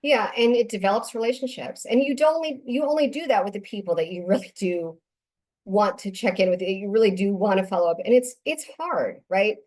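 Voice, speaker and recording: A woman's voice: medium pitch, lively intonation, brisk, darkish tone. A woman hosting a casual podcast interview, talking in a conversational, affirming way. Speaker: podcast host.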